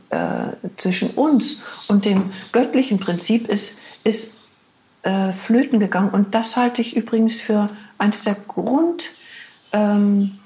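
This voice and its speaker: A woman in her sixties speaks earnestly as a podcast guest, thinking aloud and pausing on hesitant fillers. Her voice sits at an ordinary middle pitch for a woman. She explains things patiently in a reflective, conversational tone. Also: warm tone. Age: 60s. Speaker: podcast guest, body therapist. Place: lives in Hamburg.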